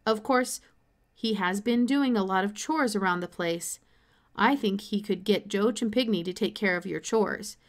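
An easygoing country father from a children's novel, voiced by a woman reader. He talks practically, with casual and unhurried phrasing. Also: medium pitch, natural voice. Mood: considering.

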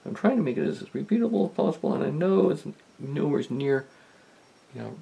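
A man giving a casual hands-on demonstration, talking in a halting, rambling, self-deprecating way. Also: a little high, big pitch swings, gravelly, mellow. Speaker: host of a vintage electronics video. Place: indoor electronics workbench.

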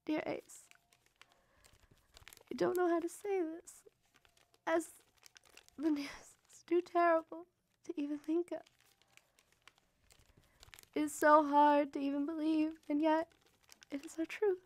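A woman's voice: high-pitched, little pitch movement, measured pace, pauses often, darkish tone, no echo. An actress playing a devoted young Victorian mother, reading letters to her brother in an earnest, emotive way for a radio drama. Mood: grief-stricken.

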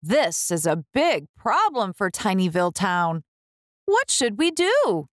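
A woman's voice: medium pitch, huge pitch swings, takes it slowly, no room sound, studio quality. A woman voicing an upbeat, earnest male town mayor who proclaims things in a children's storybook. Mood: concerned.

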